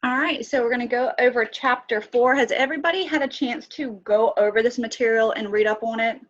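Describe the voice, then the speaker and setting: A woman's voice: fairly high pitch, fairly quick, dull audio. Woman instructor with a sniffly cold. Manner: asks students questions, many fillers. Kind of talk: online class lecture.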